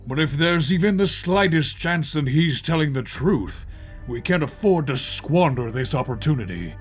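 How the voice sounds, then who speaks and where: An actor playing a serious, measured police chief in an audio drama, briefing officers in a grave, deliberate way. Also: male voice, on the high side, animated, breathy edge, soft background music. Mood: serious, businesslike.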